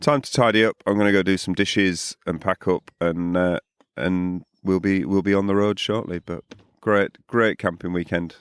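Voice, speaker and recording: Very deep-voiced, hoarse man. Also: moderate pace, no room sound, clean studio sound. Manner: relaxed, rambling, repeats words as he goes. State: morning voice hoarse from drinking. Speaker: podcast co-host. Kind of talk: casual chat outdoors at a campsite.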